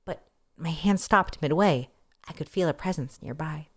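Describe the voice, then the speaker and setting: A woman with a fairly low voice reads a story aloud as narrator. She is expressive and wry, slipping into playful, casual asides as she goes. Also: normal speed, slightly rough. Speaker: streamer reading a novel aloud.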